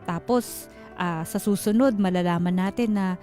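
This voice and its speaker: A woman with a Filipino accent hosting a casual call-in radio show, chatty and animated, talking straight to her listeners. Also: mid-range voice, normal speed. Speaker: radio host. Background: music.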